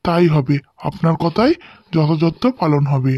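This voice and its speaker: A man's voice: on the high side, fairly even intonation, a little slow, no room sound, lo-fi sound. A man playing a polite, deferential king and father in a fairy-tale cartoon. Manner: submissive, polite. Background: music.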